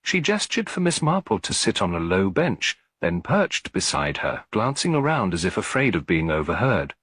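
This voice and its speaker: A man's voice: deep voice, sing-song, normal speed, fairly bright tone, slightly rough, dry recording. A man reading a scripted detective story as an audiobook narrator.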